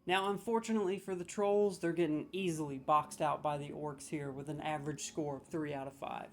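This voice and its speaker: A man's voice: a little high, steady tone, fairly quick, a little hiss or hum. A man hosting a comedic video, ranting sarcastically with mock outrage as he scores game voice lines.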